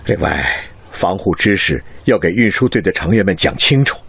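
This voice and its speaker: An actor playing a calm, watchful medical professor in a radio drama, speaking in a measured, instructive way. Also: male voice, sits low, creaky, slightly rough, dry recording, heavily acted. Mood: earnest, cautioning. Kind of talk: Chinese radio drama acting.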